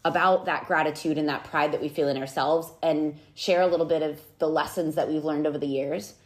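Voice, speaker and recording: A woman's voice: sits low, fairly even intonation, brisk. A woman in her thirties, a podcast host and nutrition coach, chatting casually and upbeat with her best friend. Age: 30s.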